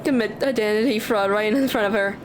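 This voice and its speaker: Female streamer, casual playthrough commentary, medium pitch, clear game music in background. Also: fairly quick.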